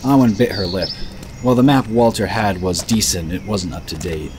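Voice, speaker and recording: A man's voice: low voice, moderate pace, noisy background. A man reading scripted narration for a fantasy audio drama. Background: music.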